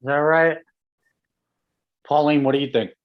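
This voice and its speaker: A man playing a probing seminar professor with a measured, questioning manner in a staged play reading. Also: fairly high pitch, steady tone, lightly acted. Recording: slightly dull lo-fi sound.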